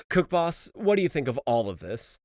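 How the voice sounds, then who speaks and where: A man's voice: on the high side, sing-song, a little slow, darkish tone. A man hosting an anime lore video, delivering scripted commentary in an emphatic way. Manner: emphatic, exasperated asides, swearing. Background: background music.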